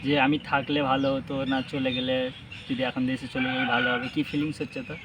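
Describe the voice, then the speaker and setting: A man's voice: medium pitch, little pitch movement, fast talker. A young man chatting casually on a phone call, teasing, coaxing and pressing with questions. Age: young adult. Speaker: vlogger making a prank phone call. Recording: noisy with birds squawking behind. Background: parrot squawks and ambient noise.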